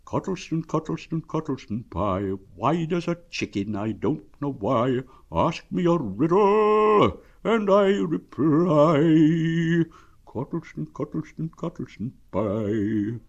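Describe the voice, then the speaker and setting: A man's voice: high-pitched, wildly swooping pitch, drawn-out words, darkish tone, lo-fi sound, voice pushed up, heavily acted. A man voicing a friendly, simple-minded storybook bear, earnest and a little puzzled. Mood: simple, earnest reciting.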